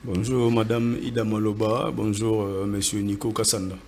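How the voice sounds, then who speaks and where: A man's voice: on the low side, flat delivery, moderate pace, darkish tone. A man from Congo, a guest entrepreneur, answering earnestly in a TV studio interview and often repeating words as he searches for them.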